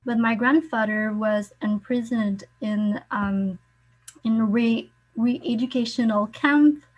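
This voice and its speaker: A woman whose first language is French, speaking hesitant English on a video call and often pausing to search for words. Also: fairly high pitch, steady tone, darkish tone, low-quality recording. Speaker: guest speaker. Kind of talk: online meeting chat.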